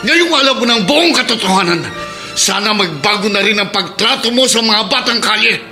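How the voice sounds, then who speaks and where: Very high, piercing, hurt youngster. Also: male voice, expressive, moderate pace, soft background music, exaggerated voice. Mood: hurt pleading. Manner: emotional, forceful, pleading. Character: hurt, pleading youngster standing up for street children. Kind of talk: Filipino radio drama acting.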